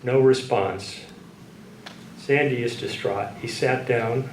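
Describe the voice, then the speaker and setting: A man giving a keynote speech at a ceremony, telling stories to an audience in a measured, anecdotal way. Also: mid-range voice. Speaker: keynote speaker at an awards ceremony. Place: large room at a public ceremony. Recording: echoey hall, distant mic, faint background noise.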